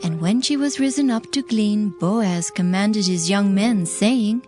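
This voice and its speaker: A woman narrates a scripture story from a script. Her mid-range voice is formal and measured, and quiet music runs beneath it. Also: medium pitch.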